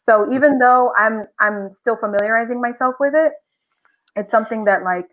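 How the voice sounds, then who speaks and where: A woman's voice: a little high, moderate pace, dull audio. A woman chatting casually and expressively as an enthusiastic voice actress guest on a podcast. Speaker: interview guest, audio drama voice actress.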